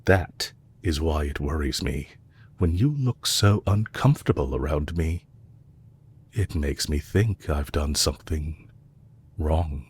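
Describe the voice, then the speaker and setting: A man playing a composed, courteous villain leader in an audio roleplay, speaking in a measured, formal way to a captive he is fond of. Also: extremely low pitch, darkish tone, slight background noise. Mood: earnest, confessional.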